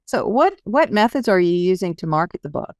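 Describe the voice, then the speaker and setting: A woman's voice: on the low side, sing-song, a little fast, dry recording, studio quality. A woman hosting an interview in a conversational style. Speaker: interview host.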